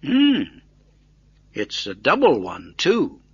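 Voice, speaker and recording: A man voicing a cold, sharp-tongued, grudging young woman in a storybook tale, with a cutting manner. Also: a little high, huge pitch swings, slow pace, breathy edge, dry recording, lo-fi sound, voice pushed up, heavily acted. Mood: sneering.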